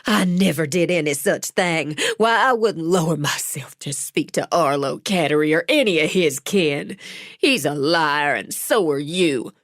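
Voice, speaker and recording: Brief: narrator as a cornered, spiteful young woman, low and venomous. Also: unhurried, no room sound, clean studio sound.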